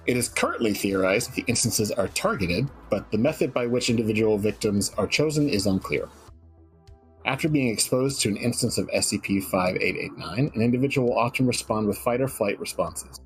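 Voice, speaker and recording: A man's voice: on the low side, fairly quick, husky, soft background music, poor audio. A man reading a clinical document aloud and chatting casually as a guest writer on a stream.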